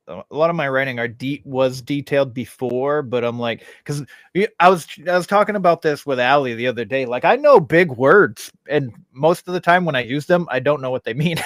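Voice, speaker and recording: A man's voice: a little high, dry recording. Rambling, self-correcting man on a dry mic. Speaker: podcast co-host. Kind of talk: casual chat.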